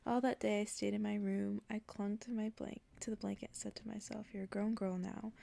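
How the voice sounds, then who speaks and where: Softly whispering woman, creaky and mellow. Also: medium pitch, flat delivery, brisk. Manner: intimate, hushed storytelling. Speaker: ASMR reader. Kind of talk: soft whispered ASMR reading of a story.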